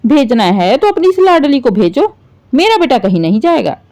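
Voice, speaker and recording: Woman as scolding middle-aged mother-in-law, bitter, possessive, high-pitched, animated swings, domestic drama storytelling. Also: normal speed. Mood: indignant. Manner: shrill indignant complaining, sarcastic.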